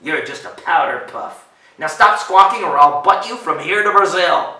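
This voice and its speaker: A man's voice: on the high side, lively intonation, normal speed, bright, forceful tone. A man voicing a mean, boastful bully billy goat from a children's storybook, taunting and snarling. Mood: jeering. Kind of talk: children's storybook read aloud. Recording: roomy, distant microphone.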